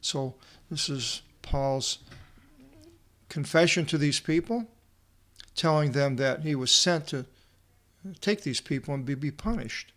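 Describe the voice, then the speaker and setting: A man giving an informal verse-by-verse Bible lecture as a teacher, reading aloud and explaining word by word in short, halting phrases. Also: fairly high pitch, a bit bright. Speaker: Bible teacher and translator presenting a video seminar.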